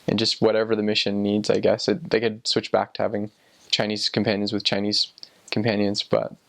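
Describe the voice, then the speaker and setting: A man's voice: fairly low pitch, completely flat delivery, fairly quick, creaky, a bit bright. A young man telling personal experiences casually, in a rambling, informal way as if thinking aloud. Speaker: former missionary sharing experiences.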